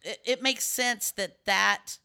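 A woman's voice: medium pitch, slow pace, gravelly, pushed and bright, smooth. A middle-aged woman with a scratchy voice, hosting a casual chat and explaining at length with many restarts and fillers.

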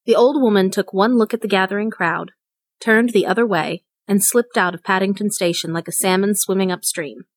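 A woman's voice: mid-range voice, a little fast, no room sound. A woman reading a short story aloud as scripted narration. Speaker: story reader.

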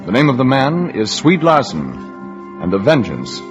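An actor playing a solemn, dramatic narrator of a war story in 1940s radio drama. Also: male voice, mid-range voice, wildly swooping pitch, a little slow. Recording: muffled vintage radio over music.

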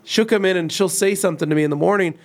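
A man's voice: high-pitched, rapid-fire. A man in his forties co-hosting a studio talk show, speaking in a reflective, fatherly way. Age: 40s. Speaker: co-host of detailing talk show. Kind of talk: casual studio chat.

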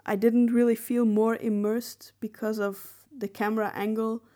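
A woman co-hosting a podcast, discussing film casually and analytically, often pausing mid-phrase to find her words. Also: on the high side, level tone, dark tone. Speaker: podcast co-host.

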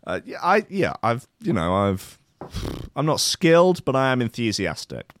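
A man voicing a cheerful young Welsh party-goer in a shaky, inconsistent Welsh accent, with rambling, halting, goofy enthusiasm. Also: mid-range voice, big pitch swings, lightly acted. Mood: eager. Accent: attempted Welsh, inconsistent. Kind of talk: comedic impersonation.

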